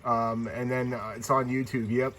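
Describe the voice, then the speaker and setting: Male radio operator, mid-pitched, easygoing and friendly, casual on-air contact chat over heavy hiss and static. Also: flat delivery, moderate pace. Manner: friendly, laid-back, radio jargon acknowledgements. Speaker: CB radio operator and YouTube channel host.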